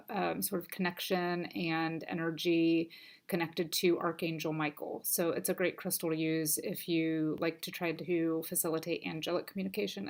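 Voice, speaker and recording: A woman with a somewhat low voice talks through a weekly crystal card reading in a gentle, unhurried way. Her voice sounds a bit stuffy and scratchy from allergies. She fills her pauses with soft ums. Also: creaky. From United States. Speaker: crystal and oracle card reader. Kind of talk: casual spiritual card reading.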